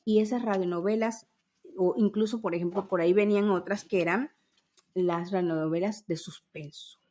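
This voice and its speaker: A woman in her fifties speaks as a podcast host with a medium-pitched voice. She tells things in an enthusiastic, nostalgic way, as casual conversation with a friend. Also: fairly even intonation, normal speed, dark tone. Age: 50s. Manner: enthusiastic, storytelling with spoken sound imitations.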